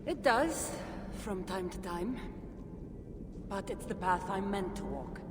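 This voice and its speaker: An actress playing a determined, weary lone warrior woman in a video game, speaking earnestly and emphatically. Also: on the low side. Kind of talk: dramatic video game cutscene acting. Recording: echoing game scene with clear ambient noise.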